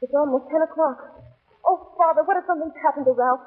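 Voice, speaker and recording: An actress playing an emotional, reproachful young minister's daughter in a melodramatic 1930s radio style. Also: female voice, high-pitched, fast talker, close to an everyday voice. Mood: anxious. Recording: tinny, band-limited 1930s radio recording.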